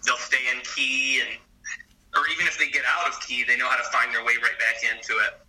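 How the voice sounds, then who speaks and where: A man hosting a casual chat, talking in an expressive, animated way. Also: medium pitch, fairly quick, husky, distant mic, low-quality recording. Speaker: podcast host.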